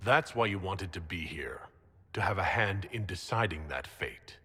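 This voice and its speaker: Casting: young noble mage leader for game, husky, sincere, measured, reverberant hall sound. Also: male voice, deep voice, animated, sharp tone, heavily acted. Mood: measured, firm. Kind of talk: video game voice acting.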